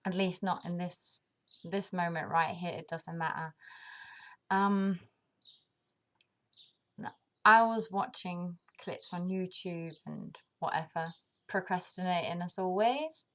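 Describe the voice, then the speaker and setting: Woman from denmark, unhurried and reflective, lowish voice, casual vlog talk. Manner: thoughtful, pauses often with ums, laughs at herself. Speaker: vlogger. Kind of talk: casual reflective monologue to camera.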